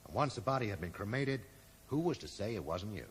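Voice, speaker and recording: An actor playing a dry, sarcastic amateur sleuth who probes and accuses in a 1980s radio drama. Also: male voice, on the low side, sing-song, brisk. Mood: dry, accusing. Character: sardonic, probing male amateur sleuth confronting an old friend. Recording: echoey, noisy old radio broadcast recording.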